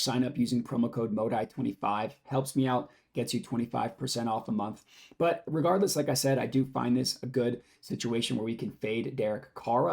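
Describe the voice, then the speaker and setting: A hoarse young man with a raspy voice, hosting his own sports betting channel and talking through his picks in a brisk, upbeat way. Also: medium pitch, a little mellow. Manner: upbeat, brisk, explaining odds to viewers. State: hoarse, lost voice from screaming. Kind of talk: casual scripted betting picks talk.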